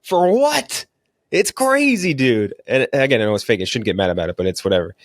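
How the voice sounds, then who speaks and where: A man's voice: mid-range voice, sing-song, a little fast, no echo, studio quality. A man hosting a casual movie podcast, talking in a blunt, slangy, profane way. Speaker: podcast host reviewing movies.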